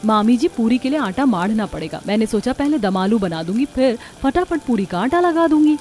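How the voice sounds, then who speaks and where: A woman's voice: a little high, lively intonation, brisk, darkish tone, slight background noise, natural voice. A woman voicing an overworked young daughter-in-law in a cartoon story, dutiful but resentful, with a huffy, complaining manner. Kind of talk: Hindi cartoon story dialogue.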